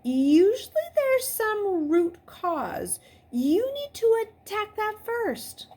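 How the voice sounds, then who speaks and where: A woman voicing a calm, untroubled older man who gives soothing advice in a story. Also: very high-pitched, slow, voice pushed up, exaggerated voice. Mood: untroubled advising.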